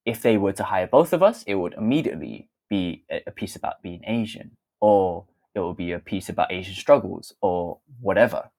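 A man's voice: on the low side, a little mellow. A young British man who dances and makes theatre, speaking candidly and thoughtfully in an interview, with many fillers and self-corrections. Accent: British English. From Britain. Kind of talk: interview answer, reflective storytelling.

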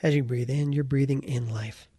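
A man leading a guided meditation as its teacher, speaking in short, deliberate phrases with pauses and a gravelly, husky voice. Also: mid-range voice, fairly even intonation, mellow, no room sound.

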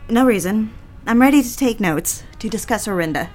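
A woman playing an anxious, deferential young secretary in a period office drama, halting and flustered as she talks. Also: mid-range voice, animated, darkish tone, soft background music, natural voice. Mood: flustered, hurt. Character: anxious, eager young secretary, engaged to be married.

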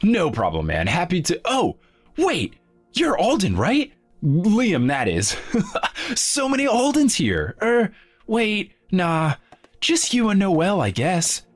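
An actor playing a breezy, friendly office coworker who rambles casually in an audio drama. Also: male voice, high voice, wildly swooping pitch, takes it slowly, soft background music, exaggerated voice.

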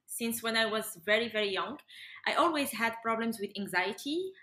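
A woman's voice: fairly high pitch, expressive, moderate pace, sharp tone. A young adult woman, talking casually as a guest in a podcast interview. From Morocco. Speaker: interview guest, yoga and breathwork facilitator. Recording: roomy, echoey sound.

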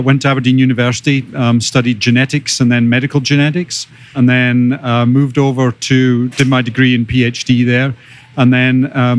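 A middle-aged Scottish man speaks as a guest on a podcast. His voice sits at a medium pitch, and he explains things in a measured, detailed way, like an experienced executive in conversation. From Scotland. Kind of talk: conversational interview answers.

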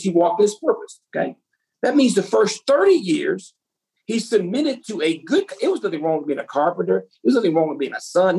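Brief: woman pastor, gravelly husky voice, preaching, rhetorical questions to the room. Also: medium pitch, dark tone, low-quality recording. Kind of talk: sermon to a women's group.

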